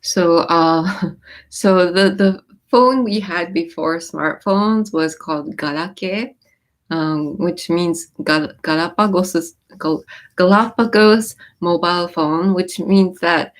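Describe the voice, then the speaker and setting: A woman with a somewhat low voice hosts a relaxed livestream. Japanese is her mother tongue but she grew up in the US. She reads out viewer comments and explains lyrics, pausing on ums and restarting her sentences. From born in Japan, raised in the US. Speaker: livestream host. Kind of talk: casual livestream chat.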